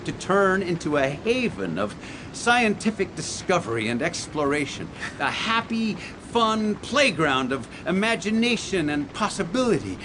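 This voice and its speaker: A man's voice: sits high, noisy background. An actor playing a middle-aged, grandiose inventor father who is blunt and condescending, in a comic radio theatre style. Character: pompous, teasing, visionary inventor father.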